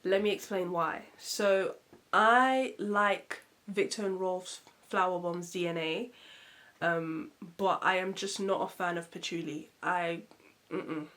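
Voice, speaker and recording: A woman reviewing perfumes in a casual vlog. She sounds nasal and muffled from a cold, and she talks in a chatty, expressive way with lots of fillers. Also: on the low side, slow. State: congested from a cold.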